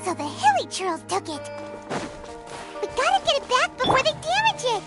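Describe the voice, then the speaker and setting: A woman voicing a small, chirpy, eager fairy companion with a squeaky childlike voice for a video game. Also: wildly swooping pitch, normal speed, full character voice. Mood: alarmed. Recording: loud music behind the voice.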